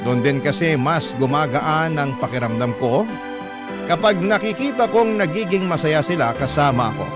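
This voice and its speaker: A man's voice: on the high side, lively intonation, normal speed, loud music behind the voice. A man with a Filipino accent narrating a listener's letter emotively as a radio host. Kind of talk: dramatic radio storytelling narration.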